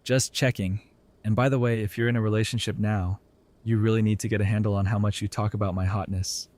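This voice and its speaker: A man's voice: fairly low pitch, steady tone, quick pace, a little mellow, close to an everyday voice. A man playing a wry, earnest young doctor in an audio drama, with a casual, teasing delivery. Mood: dry teasing. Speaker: voice actor in a fan audio drama. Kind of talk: conversational audio drama acting.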